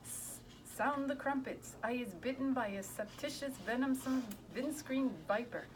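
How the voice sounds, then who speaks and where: A woman's voice: high-pitched, unhurried, pitched above the performer's own voice. A woman voicing a huge cowardly male giant in a storybook, bellowing with broken grammar. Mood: panicked wailing. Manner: bellowing, ungrammatical.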